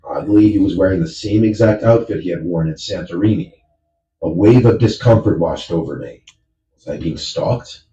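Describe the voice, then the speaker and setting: A man reading a horror story in measured, even scripted narration. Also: sits low. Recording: dull, roomy, distant audio.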